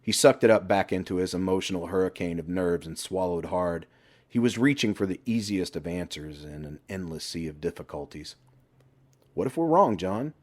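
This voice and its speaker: A man's voice: low voice, brisk, a little mellow. A man reading a scripted story aloud as an audiobook narrator.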